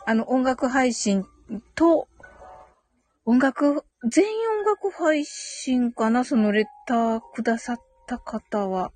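A woman's voice: fairly high pitch, lively intonation, slow pace, a little mellow, dry recording. A woman hosting a live audio stream, chatting casually and politely as she reads and answers comments, with frequent agreeing hums and giggles.

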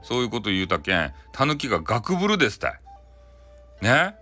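A man's voice: fairly low pitch, very animated, brisk, bright, forceful tone, faint music behind. A man with a Hakata accent telling a bedtime folktale in a casual, chatty, colloquial way. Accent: Hakata, Japan. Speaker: storyteller.

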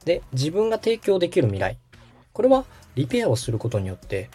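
A man's voice: a little high, wildly swooping pitch, fairly quick, dark tone, husky, faint music behind. A man hosting a podcast, interviewing and coaching a guest in a polite, instructive conversational manner. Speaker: podcast host, radio director coaching guest.

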